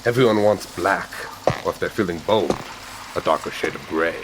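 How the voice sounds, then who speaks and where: An actor playing a flamboyant tailor who dresses criminals, with a theatrical, preening manner. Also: male voice, low voice, normal speed, background noise, close to an everyday voice.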